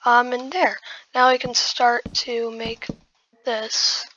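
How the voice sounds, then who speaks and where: A hoarse woman who has just woken up, giving casual gameplay commentary while building and often muttering in half-whispers to herself. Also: a little high, level tone, slow, bright, forceful tone, muffled recording. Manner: muttering half-whispered asides to self, addressing viewers as guys. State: hoarse, losing voice after just waking up. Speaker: gaming video creator.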